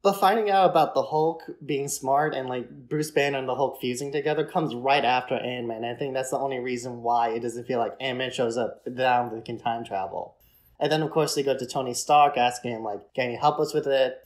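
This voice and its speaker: A man's voice: mid-range voice, fairly quick. A man co-hosting a podcast, talking through a film in a casual, explanatory, conversational way. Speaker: podcast host.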